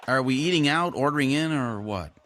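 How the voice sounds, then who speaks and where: An actor playing an easygoing, oblivious husband in a television drama, with a casual, chatty way of talking. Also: male voice, mid-range voice, animated, brisk, a bit bright, a little hiss or hum, lightly acted. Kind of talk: naturalistic television drama acting.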